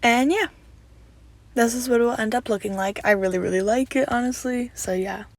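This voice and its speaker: A young woman walking viewers through video editing steps in a relaxed, chatty way, her voice a little raspy because she has just woken up. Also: fairly high pitch, fairly quick. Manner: relaxed, chatty step-by-step instructions with "so yeah" fillers. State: slightly raspy from just waking up. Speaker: video editing tutorial creator. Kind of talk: casual screen-recorded tutorial voice-over.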